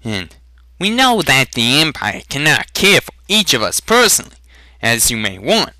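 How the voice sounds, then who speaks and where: Casting: man doing a thin, haughty storybook traitor, smooth arguer, very bright forceful voice, dry recording. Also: mid-range voice, very animated, normal speed, no room sound, pitched above the performer's own voice, full character voice. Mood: smooth, reasoning. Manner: smooth, reasoning, condescending.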